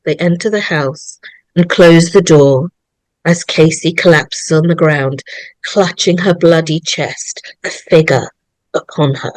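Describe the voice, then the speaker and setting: Lady reading horror screenplay stage directions, slow and dramatic, no room echo. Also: sits low. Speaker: narrator.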